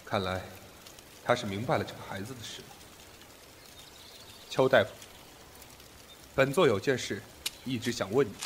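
An actor playing a proud, commanding sect master in a period audio drama, with imperious, formal speech. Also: male voice, medium pitch, moderate pace, pauses often, slight background noise, natural voice. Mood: cool, probing.

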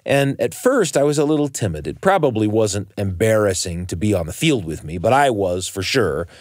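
An American man narrates a personal tale as a radio storyteller. His voice sits low and moves through lively, varied intonation. The sound is close and dry. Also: normal speed, no echo.

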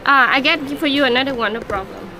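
A woman's voice: high-pitched, fast. A woman in her twenties with a Vietnamese accent, selling casually in broken English at a market stall. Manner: broken, non-native English. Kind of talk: casual sales chat. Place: busy covered market stall. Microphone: vlog camera at conversational distance. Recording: noisy market background.

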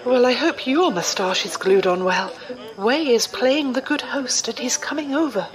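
A woman's voice: on the high side, expressive, moderate pace, crowd noise, natural voice, rough recording. A woman acting as a composed, dryly amused religious sister in an audio drama. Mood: calm amusement. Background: music.

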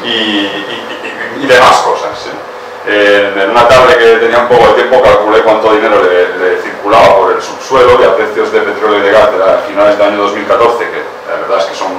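Catalan-accented male lecturer, 30s, tired and hesitant, many fillers; low voice, noisy room recording. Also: fairly even intonation, brisk, a bit bright, distant mic. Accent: Catalan-accented Spanish. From Barcelona. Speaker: guest speaker. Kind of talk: public lecture with slides. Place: small bare seminar room. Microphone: far room camera. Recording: very echoey room from afar, background noise.

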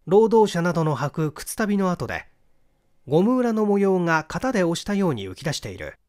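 Male audiobook reader, slightly high voice, animated intonation, mystery novel narration. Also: brisk.

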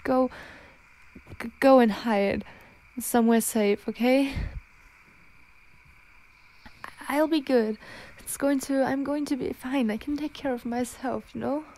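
A frightened young werewolf girlfriend, acted by a woman in an ASMR audio drama. Her voice is fairly high and she speaks very slowly, stammering and pleading as she tries to hold back her change. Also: darkish tone. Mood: startled, pleading.

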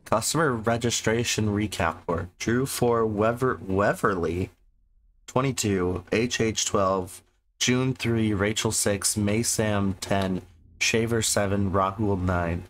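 A sick, hoarse man casually commenting on a game he plays, rambling and sarcastic as he reads the game text aloud. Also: lowish voice, slow pace, a little hiss or hum, rough recording. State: sick, losing his voice. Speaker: gameplay commentator. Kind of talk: casual let's-play commentary.

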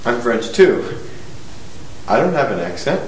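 A young man playing a nervous, excitable young actor who stammers, on a small dark stage. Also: medium pitch, measured pace. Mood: defensive. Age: young adult. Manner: stammering, anxious, prone to outbursts. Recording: roomy stage sound with faint noise.

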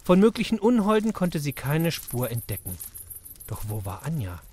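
A man narrating a children's audio drama in an expressive storytelling manner. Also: on the high side, normal speed, slightly rough.

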